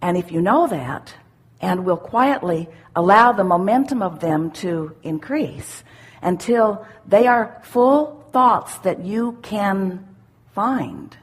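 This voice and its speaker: Casting: woman, husky voice, spiritual seminar teacher, deliberate and emphatic, explains in measured phrases. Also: medium pitch, expressive. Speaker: spiritual teacher answering audience questions. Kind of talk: live spiritual seminar talk, unscripted question and answer.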